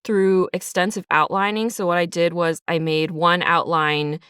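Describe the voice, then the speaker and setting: Lower-voiced woman, smooth and clear. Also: little pitch movement, normal speed, a bit bright, dry recording, clean studio sound. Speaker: writer and creator of an audio drama. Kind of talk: casual interview answers.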